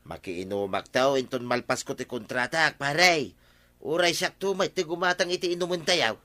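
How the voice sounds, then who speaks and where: A man's voice: on the high side, normal speed, bright, forceful tone. A man with an Ilocano accent playing a thirsty, hardworking village husband who grumbles and exclaims in a comedy radio drama. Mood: jovial banter.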